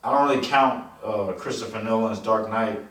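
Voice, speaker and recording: A man's voice: fairly low pitch, normal speed, fairly bright tone. A man bantering casually about a film as co-host, with slangy, joking asides and some swearing. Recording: echoey room, distant mic.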